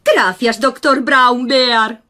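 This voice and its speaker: A woman voicing a gentle, lilting, slightly dramatic gazelle schoolteacher in a cartoon dub. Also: fairly high pitch, steady tone, drawn-out words, full character voice. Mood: soothing. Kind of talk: dubbed preschool cartoon acting.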